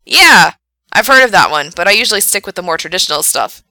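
A woman playing an excitable, chatty young male late-night radio DJ in a story, enthusiastic and teasing. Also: medium pitch, fast, no room sound. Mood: excited, teasing. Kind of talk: radio DJ patter in an audiobook story.

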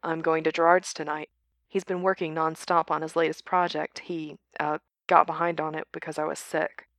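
A woman playing a low-key, slightly hesitant young man in an audiobook, in an understated manner. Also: level tone, moderate pace, fairly bright tone, dry recording, clean studio sound.

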